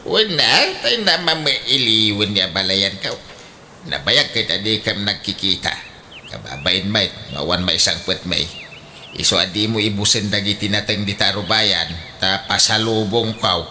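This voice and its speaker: A man's voice: fairly low pitch, expressive, moderate pace, pushed and bright, slight background noise, heavily acted. An actor with an Ilocano accent playing a teasing, blunt older father in a comedic radio drama, full of exclamations. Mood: nagging, practical.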